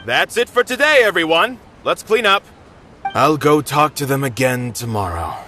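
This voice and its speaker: Middle-aged theater manager, polite, resigned, bright tone, slightly higher voice. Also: male voice, big pitch swings, normal speed, soft background music. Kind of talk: video game dialogue.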